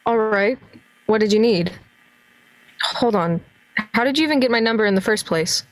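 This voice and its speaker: A woman's voice: on the high side, expressive, normal speed, natural voice, low-quality recording. A woman playing a weary, dry-witted male small-town detective in an amateur radio play, speaking in a hesitant, sardonic way. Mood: skeptical, dry.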